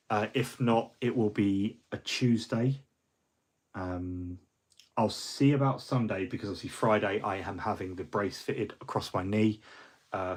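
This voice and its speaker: A middle-aged man rambles through a casual livestream wrap-up, full of ums and false starts. His voice is husky and sits a little low. He sounds a bit fluey. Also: a little mellow. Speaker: hobby livestream host.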